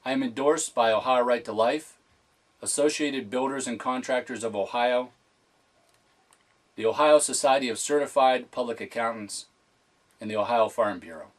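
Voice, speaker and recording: A man's voice: medium pitch, moderate pace, pauses often. A man giving a prepared political speech as a candidate, in a formal, emphatic, point-by-point manner. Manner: formal, emphatic enumerated delivery.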